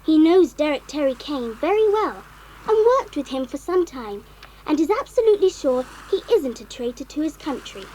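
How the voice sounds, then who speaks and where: An actress playing a forthright, expressive child adventurer in a children's audio drama. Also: female voice, high voice, moderate pace, slight background noise, low-quality recording. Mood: reading aloud. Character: forthright child adventurer, eager and plain-speaking.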